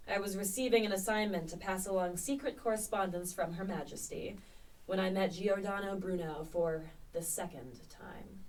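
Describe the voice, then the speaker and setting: A woman's voice: lowish voice, a little mellow, close to an everyday voice. A woman playing a witty young male Elizabethan poet turned spy in a radio play, speaking in a wry, eloquent, literary way. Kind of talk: radio play monologue and dialogue. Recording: distant mic with background noise.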